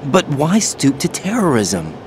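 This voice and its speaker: An actor playing an earnest, naive young special forces operative in a video game, with a questioning military manner. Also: male voice, mid-range voice, huge pitch swings, measured pace, slight background noise. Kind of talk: dramatic game cutscene acting.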